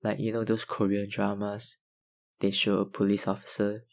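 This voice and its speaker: A young man, an ASMR YouTuber, chatting casually through viewer questions, hesitant with many fillers and soft laughs. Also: fairly low pitch, completely flat delivery, normal speed, creaky. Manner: hesitant, lots of ums and likes, soft laughs. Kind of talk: casual Q&A chat.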